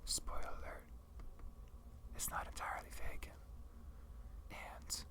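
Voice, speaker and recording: An ASMR host whispering a casual, conversational review in a halting, rambling way. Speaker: video host.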